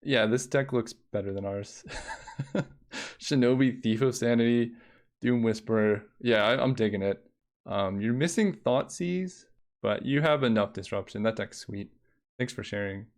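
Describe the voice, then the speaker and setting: Young guy streaming a card game, chill relaxing voice, thinking out loud and counting under his breath. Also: medium pitch, moderate pace. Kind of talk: casual live-stream game commentary.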